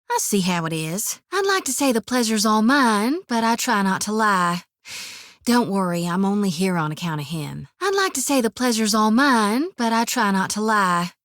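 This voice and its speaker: An actress voicing a young, blunt, priestess in a video game, with a drawl and a teasing, devout manner. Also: female voice, a little high, dry recording. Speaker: game companion. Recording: dry studio recording.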